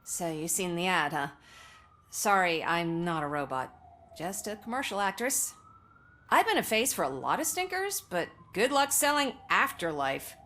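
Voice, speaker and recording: An actress playing a candid, sardonic commercial actress in a game, chatty and dry. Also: female voice, lowish voice, big pitch swings, moderate pace, sharp tone. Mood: wry.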